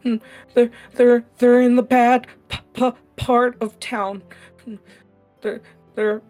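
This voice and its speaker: Man putting on a frightened orphan boy, high and shaky, stammering through tears, tabletop game voice. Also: takes it slowly, creaky, fairly bright tone, faint music behind, exaggerated voice. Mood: scared, stammering. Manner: stammering, tearful pleading. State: crying. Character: frightened crying orphan boy, small and timid.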